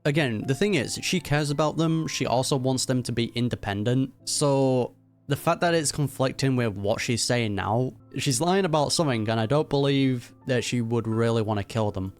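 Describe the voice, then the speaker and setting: A man's voice: mid-range voice, moderate pace, faint music behind. A young man giving casual, informal live reaction commentary over a game, talking back to the characters. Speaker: gaming reaction creator.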